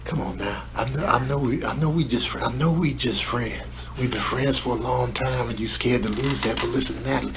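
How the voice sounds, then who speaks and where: A man voicing a streetwise, trash-talking animal in a comedy voice-over, with slangy, repetitive banter, excitable and cocky. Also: medium pitch, brisk, few pauses, crowd noise, lightly acted. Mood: pleading.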